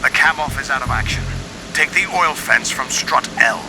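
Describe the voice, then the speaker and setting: Game villain leader male actor: measured, unbending, commanding, mid register over music. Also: mid-range voice, lightly acted, low-quality recording. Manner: measured, imperious. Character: authoritative male terrorist leader, controlled, unbending. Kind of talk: dramatic video game acting.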